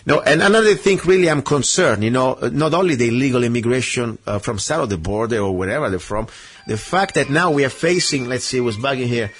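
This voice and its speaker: A man's voice: mid-range voice, lively intonation, quick pace, a bit bright, husky. A man from Italy with an Italian accent, hosting a political radio talk show and speaking emphatically, with many "uh"s and "you know"s. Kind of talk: opinionated political radio chat.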